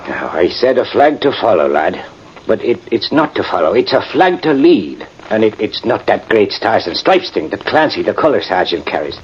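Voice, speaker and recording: An actor playing a proud, sentimental, tipsy Irish cavalry sergeant in a radio drama, rambling and halting with emphatic turns. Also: male voice, lowish voice, very animated. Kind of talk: 1950s radio drama acting. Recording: muffled, noisy vintage radio recording.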